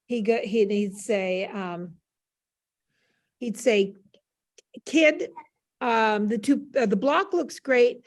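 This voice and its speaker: Older woman, American accent, medium pitch, animated storyteller, casual panel guest, acts out remembered conversations. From United States.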